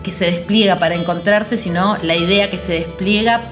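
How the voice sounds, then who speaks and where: An Argentine woman talking reflectively and conversationally about a creative project, often halting as she searches for words. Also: on the low side, fairly even intonation. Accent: Argentine Spanish. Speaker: theater artist and educator. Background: music behind the voice.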